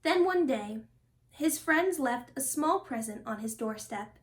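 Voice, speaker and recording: A woman narrating a children's picture book aloud in an expressive, deliberate storytelling manner. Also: sits high. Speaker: storybook reader.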